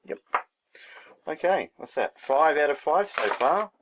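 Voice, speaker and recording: A man's voice: a little high, expressive, a little slow, background noise. A man giving a casual hands-on demonstration, thinking aloud in a laid-back, unscripted way as he works. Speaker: hobbyist presenter.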